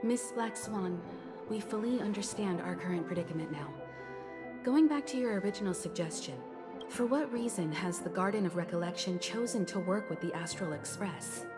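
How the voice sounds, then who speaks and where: An actress playing a calm, shrewd, courteous leader in a video game, speaking in a measured, diplomatic and guarded way. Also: female voice, medium pitch, loud music behind the voice, close to an everyday voice. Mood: calm, wary. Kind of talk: scripted game dialogue.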